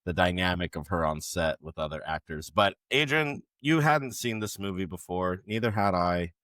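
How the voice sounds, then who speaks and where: A man's voice: low-pitched, animated, moderate pace, a bit bright, dry recording. A man hosting a casual film podcast, joking with co-hosts and reading out the credits. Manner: leads the show, reads out film credits, jokey. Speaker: podcast host.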